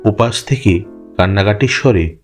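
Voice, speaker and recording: Low-voiced man narrating over music. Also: moderate pace. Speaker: narrator of a horror story, in first person. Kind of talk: scripted dramatic narration for a cartoon. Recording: clear music bed behind narration.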